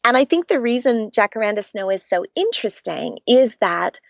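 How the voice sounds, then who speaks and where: A woman's voice: on the high side, moderate pace, gravelly, no echo, lo-fi sound. A middle-aged woman from Canada with a Canadian accent, a guest author telling stories earnestly in a conversational interview. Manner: warm storytelling, earnest and animated.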